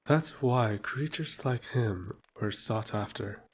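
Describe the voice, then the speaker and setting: A man's voice: lowish voice, slow pace, slight background noise. An actor playing a boastful nobleman who speaks in a formal, flowery, self-satisfied way. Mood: smug. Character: smug male nobleman, courtly and boastful. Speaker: Lord Hindris.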